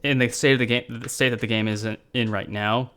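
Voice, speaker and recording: A man with a middle-pitched voice talks through ideas on a game development stream, often restarting sentences and laughing as he goes. He speaks casually over a video call from a room at home. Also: fairly even intonation, a little fast, a bit bright, clear, resonant tone. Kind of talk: casual chat during a dev stream.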